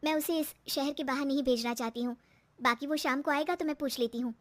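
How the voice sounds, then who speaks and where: A woman's voice: high voice, fairly even intonation, brisk, close to an everyday voice. A woman voicing a humble, determined young mother who talks in an earnest, pleading way. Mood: earnest, conciliatory.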